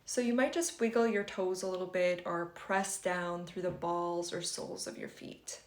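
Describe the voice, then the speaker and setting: A woman leading a guided meditation for beginners in a gentle, conversational and reassuring way. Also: on the low side, steady tone, moderate pace. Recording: roomy, echoing sound.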